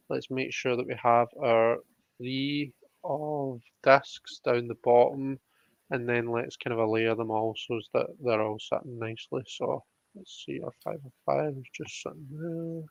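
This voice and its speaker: A man's voice: medium pitch, gravelly, no echo. A man hosting a live tarot reading in a casual, conversational style. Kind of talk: casual livestream talk. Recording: dull, muffled lo-fi livestream audio.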